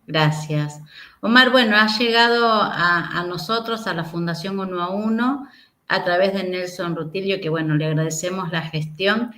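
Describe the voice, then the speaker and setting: A woman's voice: lowish voice, normal speed, bright, forceful tone. A woman with a South American voseo Spanish accent, hosting an interview and putting questions to a guest. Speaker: interviewer, conference host. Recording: echoey room sound.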